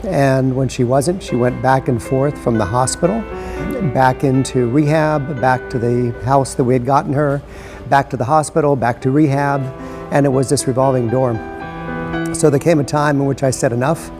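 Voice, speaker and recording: A man's voice: mid-range voice, fairly even intonation, normal speed, over music. A middle-aged or older man giving reflective interview answers, repeating phrases for emphasis. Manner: emphatic repetition. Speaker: interview guest, entrepreneur.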